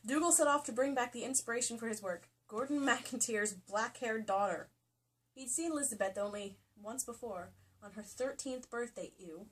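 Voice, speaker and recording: A high-pitched female voice reads prose aloud in a mock-dramatic way. She is jokey and informal, slipping between the narration and offhand comments as a co-host would. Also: normal speed, fairly bright tone, slightly rough, rough recording. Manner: jokey, breaks into laughter while reading. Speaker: co-host reading a romance novel aloud. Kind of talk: comedic dramatic reading with casual asides. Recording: faint background noise, amateur home video.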